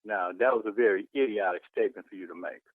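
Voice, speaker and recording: A man's voice: fairly low pitch, quick pace, dry recording. A man arguing heatedly on the phone, indignant and emphatic, repeating his phrases. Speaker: church member receiving a prank call. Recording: tinny telephone line.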